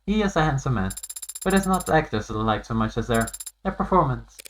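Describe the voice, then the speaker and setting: A man's voice: mid-range voice, expressive, fast, lightly acted. A man voicing an earnest, naive, bookish female artificial intelligence in a video game, polite and literal, explaining things carefully. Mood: earnest, wistful.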